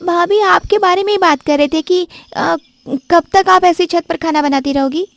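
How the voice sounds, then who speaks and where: A woman voices a nagging young sister-in-law in a cartoon story. Her voice is pushed high and whiny, heard dry and close. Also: moderate pace, gravelly, no echo. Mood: complaining. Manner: whiny, coaxing, high-pitched.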